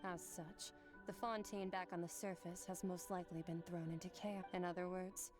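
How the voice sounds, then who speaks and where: A haughty otherworldly swordswoman from a video game, played by a woman, speaks fairly low over clear background music. She is formal, blunt and coolly unimpressed by everyone. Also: little pitch movement, normal speed, close to an everyday voice. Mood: matter-of-fact. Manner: cool, formal, matter-of-fact. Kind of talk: video game character acting.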